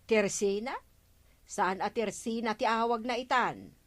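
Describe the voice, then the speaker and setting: A woman's voice: a little high, unhurried, natural voice. A woman playing a firm village councilwoman and grocery keeper in a comic radio drama. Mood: firm, dismissive.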